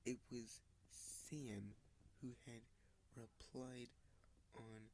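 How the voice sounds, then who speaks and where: A man with a congested, sniffling voice speaks very slowly, in halting bits, often slipping into a soft near-whisper. He sounds like a casual vlogger talking into a close mic. There is a faint hiss of background noise behind him. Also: medium pitch, fairly even intonation. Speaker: model train channel host. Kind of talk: casual screen-recorded vlog.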